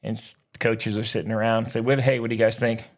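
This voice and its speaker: An American man co-hosting a sports podcast in casual, slangy, joking banter, boisterous and quick to laugh. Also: fairly low pitch, flat delivery.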